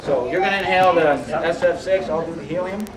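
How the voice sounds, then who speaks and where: A man's voice: a little high, brisk. A man co-presenting a live science demonstration in an informal, playful way. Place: indoor room with live audience. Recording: echoey room, distant mic, loud crowd.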